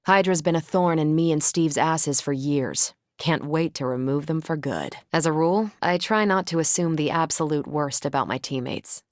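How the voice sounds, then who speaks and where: An actress playing a confident, wry superhero and ex-military pilot in a video game, speaking with dry, casual self-assurance. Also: female voice, deep voice, normal speed, few pauses, no room sound, natural voice. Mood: matter-of-fact, wry. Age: adult. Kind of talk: video game voice acting, conversational dialogue.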